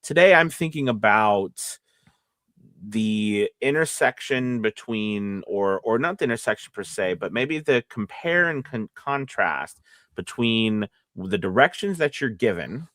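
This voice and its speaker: A man co-hosting a casual livestream as a voice actor, talking in a rambling, halting way and often correcting himself. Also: fairly low pitch, lively intonation, a bit bright, no room sound.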